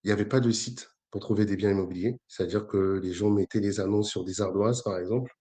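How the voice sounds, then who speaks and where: A man's voice: lowish voice, steady tone, quick pace, warm tone, slightly rough. A man in his forties from Congo-Brazzaville, speaking as an interview guest in a hesitant, conversational way full of fillers and restarts. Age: 40s. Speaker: entrepreneur guest.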